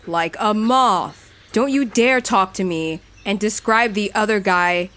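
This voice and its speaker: A woman's voice: medium pitch, takes it slowly, fairly bright tone. A woman playing the Jersey Devil, a grumpy, territorial cryptid who talks in a blunt, scornful way in an audio drama. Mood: scornful, irritated.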